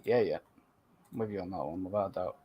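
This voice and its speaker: A man's voice: low-pitched, fast, dark tone, slightly rough. A man, hosting a casual late-night livestream chat, laid-back and swearing casually as he urges viewers to subscribe. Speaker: channel host.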